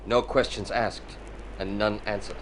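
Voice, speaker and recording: Guy acting a kid drifter, lowish voice, cool n laconic, keeps secrets, faint old radio hiss. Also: fairly even intonation, a little slow, close to an everyday voice, low-quality recording. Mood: guarded, firm. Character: guarded, wiry Texan youth, cool and hard beyond his years.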